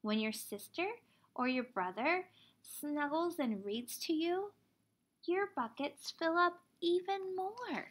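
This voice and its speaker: A woman in her thirties reading a children's picture book aloud like a teacher, expressively, pausing to explain things simply for young children. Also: high voice, animated. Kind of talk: children's storybook read-aloud.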